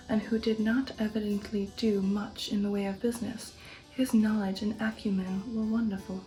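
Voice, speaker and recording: A woman narrating a novel aloud in a soft, partly whispered ASMR style, measured and careful. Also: on the high side, rough recording.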